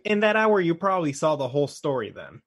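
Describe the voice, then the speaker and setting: A man's voice: fairly high pitch, big pitch swings, fast. A man co-hosting a casual podcast, blunt in his opinions and given to swearing. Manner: blunt, profane. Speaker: podcast co-host. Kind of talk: casual chat about film and game adaptations.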